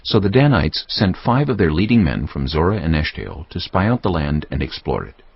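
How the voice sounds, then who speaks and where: A man's voice: low-pitched, sing-song, moderate pace, breathy edge, no room sound, highs cut off. A man narrating scripture as a dramatised audiobook in a solemn storytelling manner. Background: music.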